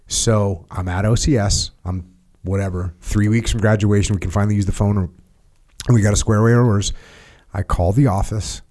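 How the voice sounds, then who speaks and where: A middle-aged man hosting a podcast, telling stories in a casual, animated way with emphatic repetitions and laughs. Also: on the low side, moderate pace. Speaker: podcast host. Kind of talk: casual storytelling chat.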